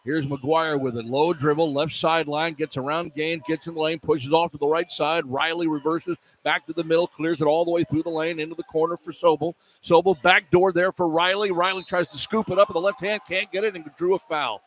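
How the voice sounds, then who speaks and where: A man's voice: a little high, fairly even intonation, brisk, hardly any pauses. A man calling live basketball on the radio as play-by-play announcer, describing the action in clipped running phrases. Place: indoor sports arena. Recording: live arena broadcast with background noise.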